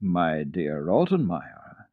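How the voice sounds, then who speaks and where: A man voicing a kindly, brisk old grandmother in a storybook reading, warm, amused and emphatic. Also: low-pitched, sing-song, heavily acted. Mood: firm, brisk. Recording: dull, roomy old radio recording.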